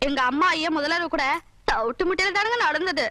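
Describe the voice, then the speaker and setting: An actress playing a coy, bashful village bride in a melodramatic film comedy. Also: female voice, high voice, animated, brisk, bright, forceful tone, dry recording, clean studio sound.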